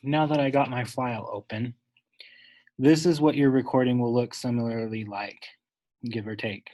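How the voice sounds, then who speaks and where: A man giving an unscripted, instructional step-by-step software tutorial, talking wordily and correcting himself as he goes. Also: medium pitch, steady tone, normal speed, poor audio. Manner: instructional, wordy, with self-corrections.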